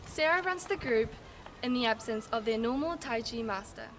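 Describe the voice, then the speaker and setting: Woman, voice-over narrator, higher-pitched, brief intros, faint noise behind. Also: lively intonation, normal speed, a bit bright.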